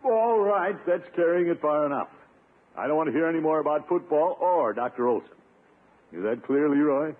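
An actor playing a vain, flustered middle-aged uncle in a 1950s radio comedy, blustering defensively with exasperated chuckles. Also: male voice, fairly high pitch, expressive, moderate pace. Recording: scratchy, tinny 1950s radio recording.

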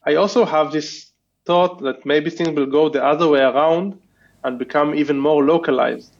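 Thoughtful, hesitant male guest from Israel; slightly higher voice, dull lo-fi recording. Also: fairly even intonation, moderate pace. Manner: hesitant, thoughtful, many restarts and fillers. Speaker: guest entrepreneur. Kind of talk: casual interview chat.

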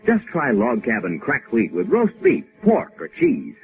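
A man working as a radio announcer and sponsor pitchman, reading scripted copy in 1950s style. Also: huge pitch swings, a little slow. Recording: tinny vintage radio, music behind.

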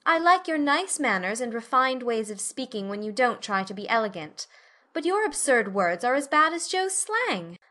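A woman's voice: on the high side, very animated, moderate pace, bright, forceful tone, voice pushed up. A woman voicing a prim, motherly teenage eldest sister in an audiobook story, genteel and reproving in manner. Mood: lecturing. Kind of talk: audiobook character acting.

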